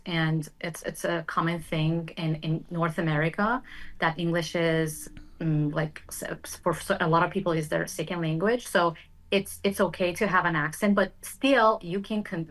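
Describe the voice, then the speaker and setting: Lively low-voiced woman panelist. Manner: lively, laughs easily, quick to jump in. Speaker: panel guest. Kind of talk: panel discussion.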